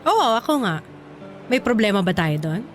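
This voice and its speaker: A woman's voice: medium pitch, big pitch swings, normal speed, faint music behind, lightly acted. An actress playing a sassy, jealous young single mother with a Filipino accent in a radio drama. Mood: sassy, taunting. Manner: taunting banter with sarcastic edge.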